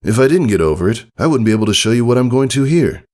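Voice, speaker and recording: A man's voice: medium pitch, big pitch swings, fast, a little mellow, pitched above the performer's own voice, natural voice. A man voicing an adult male pegasus father, playful, nonchalant and affectionate, in a storybook reading. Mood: upbeat, affectionate. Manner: nonchalant, coaxing. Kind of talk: acted fan fiction storybook reading.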